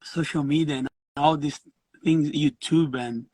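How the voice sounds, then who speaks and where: A middle-aged man from Brazil, a guest musician telling his story in a casual interview over a video call, halting and restarting his phrases. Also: fairly high pitch, fairly even intonation, a little mellow, dry recording.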